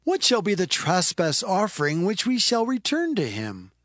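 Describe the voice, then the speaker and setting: A man's voice: high voice, huge pitch swings, normal speed, fairly bright tone, no echo, studio quality, full character voice. A man playing an anxious ancient lord asking for guidance, declaiming in a dramatized scripture reading. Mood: anxious questioning.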